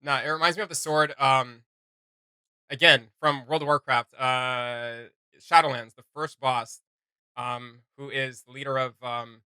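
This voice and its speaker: A man gives casual, excitable reaction commentary in a bright, forward voice. He breaks into sudden louder bursts, and the sound is close and dry. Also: mid-range voice, measured pace, clear, resonant tone, no room sound. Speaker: reaction video host.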